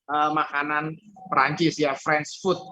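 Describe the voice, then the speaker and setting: A man's voice: on the high side, little pitch movement, unhurried, bright, forceful tone, no echo. A man, chatting casually as a guest on a video call and searching for words as he goes. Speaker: guest on a travel talk show. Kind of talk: casual chat. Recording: dry, dull lo-fi call audio.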